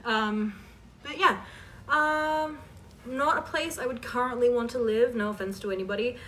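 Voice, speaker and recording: A woman's voice: a little high, normal speed, a bit bright. Girl doing a casual q&a, rambly and self deprecating, kinda far from the mic w faint noise. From Canada. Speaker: host of a fan voice-acting channel. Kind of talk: casual question-and-answer chat.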